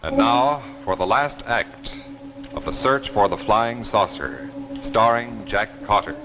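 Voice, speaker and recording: An echoey, dulled vintage broadcast features an American man announcing in polished 1950s commercial style. His mid-pitched voice swings expressively as he sells, smooth in manner and formal in presentation. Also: expressive, slow, dull audio, noisy background. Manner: polished, salesmanlike.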